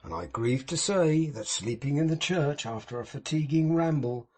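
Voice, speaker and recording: A man voicing a pompous, sanctimonious middle-aged gentleman in an audiobook, speaking in a grand, moralizing manner with a husky tone. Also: a little high, expressive, moderate pace. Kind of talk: audiobook character reading.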